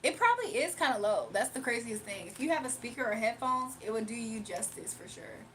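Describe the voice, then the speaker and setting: A woman's voice: a little high, sing-song, a little fast, fairly bright tone, a little hiss or hum, rough recording. A woman chatting casually on a live stream from her phone, reading viewer comments aloud and laughing often. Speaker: livestreamer.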